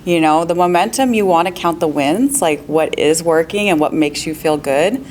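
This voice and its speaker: A woman's voice: low voice, moderate pace, darkish tone, a little hiss or hum. A woman, a real estate agent on a panel, giving upbeat, practical advice with frequent laughs and questions to the audience.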